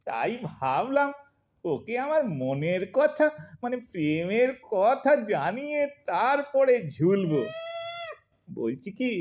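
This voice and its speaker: A woman's voice: mid-range voice, very animated, slow pace, darkish tone. A woman playing a lovesick, grumbling husband in his eighties in an audio drama, whining and melodramatic. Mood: sheepish, plaintive.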